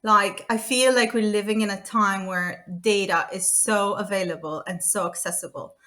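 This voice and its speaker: Bright, chatty Romanian-born woman. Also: mid-range voice, fairly even intonation, moderate pace. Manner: chatty, frequent fillers and restarts, laughing often. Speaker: guest, data consultant. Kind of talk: casual podcast chat.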